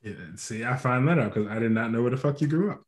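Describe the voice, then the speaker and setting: A man's voice: mid-range voice, quick pace, crowd noise. A man hosting a casual podcast, swearing freely and laughing often. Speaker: podcast host. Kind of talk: casual chat.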